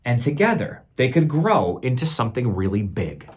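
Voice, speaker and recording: Man, teacher reading aloud, children's storytime, very animated pitch, husky edge, instructive. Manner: expressive, deliberate, instructive. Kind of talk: storytime read-aloud with questions to listeners.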